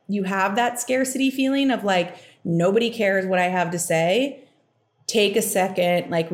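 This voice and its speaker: A young adult woman hosting a podcast, explaining a reading in a casual, chatty way, with frequent fillers and quick asides. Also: on the low side. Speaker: podcast host giving a reading. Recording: roomy echo.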